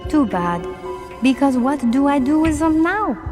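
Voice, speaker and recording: A woman's voice: high-pitched, expressive, unhurried, darkish tone, big-room sound, faint music behind. An actress voicing a cheerful young French woman in a game, with a French accent and earnest, slightly broken English. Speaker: game character.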